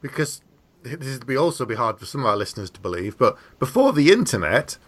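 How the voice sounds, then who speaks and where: Man, mid-pitched, explanatory podcast host. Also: big pitch swings, fast. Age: middle-aged. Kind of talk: casual chat about books and films.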